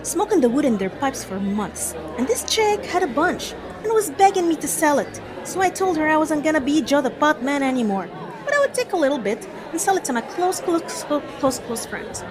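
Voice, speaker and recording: A woman's voice: sits high, big pitch swings, brisk, music in the background, crowd noise. A woman acting in an audio drama as a slangy, foul-mouthed undercover cop spinning a street story. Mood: matter-of-fact. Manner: slangy, profane, exasperated storyteller. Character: male undercover cop rehearsing a foul-mouthed pot dealer's anecdote.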